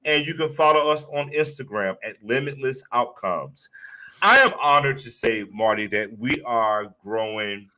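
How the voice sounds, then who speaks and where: Male guest therapist with a stutter, bright forceful tone, medium pitch, earnest and emphatic motivational delivery. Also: normal speed, rough recording.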